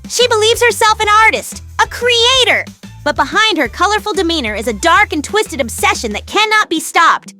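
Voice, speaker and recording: A woman voicing an excitable, chaotic little girl character with a dramatic way of talking in a comedic cartoon. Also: very high voice, a little fast, few pauses, soft background music, exaggerated voice. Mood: dramatic warning. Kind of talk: comedic voice acting.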